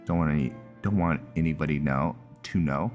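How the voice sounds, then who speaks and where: A man's voice: very deep voice, a little fast, darkish tone, lo-fi sound, faint music behind. A man giving casual commentary on a roleplay video game, muttering to himself and often repeating his words. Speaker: gaming content creator.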